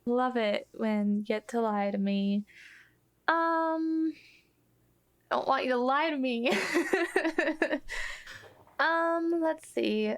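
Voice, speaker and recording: A woman's voice: high voice, drawn-out words, long pauses. A woman playing in a tabletop roleplaying game, chatting casually about her character and her choices.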